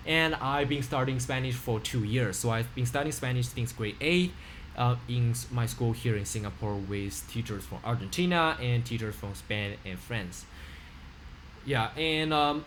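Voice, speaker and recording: A man's voice: medium pitch, a little hiss or hum. Chinese-accented teenage boy presenting himself. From China. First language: Mandarin. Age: teens. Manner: earnest, rambling with frequent fillers and restarts. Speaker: student. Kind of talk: self-introduction presentation. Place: small room indoors. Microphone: webcam on a video call.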